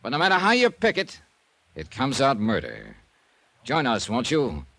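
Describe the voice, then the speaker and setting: Wry, lively radio detective. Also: male voice, medium pitch, moderate pace, dry recording, exaggerated voice. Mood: dramatic. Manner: wry, clipped, conversational narration. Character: wry, breezy male insurance investigator narrating his case. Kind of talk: 1950s radio detective drama acting. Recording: vintage radio recording with music.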